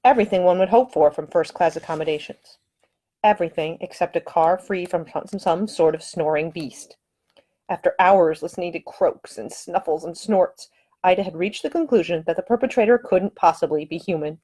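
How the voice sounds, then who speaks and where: A woman reading her own romance novel as scripted audiobook narration, with expressive, dramatic storytelling. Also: on the low side, normal speed.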